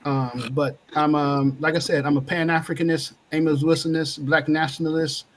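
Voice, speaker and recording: A man on a live panel speaking softly and hesitantly, with many fillers. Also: a little high, little pitch movement, normal speed. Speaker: panel guest.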